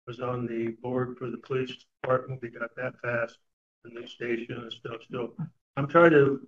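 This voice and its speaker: An older man giving a public comment at a meeting, speaking haltingly with many fillers. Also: mid-range voice, slight background noise. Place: meeting room. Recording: dull lo-fi meeting recording.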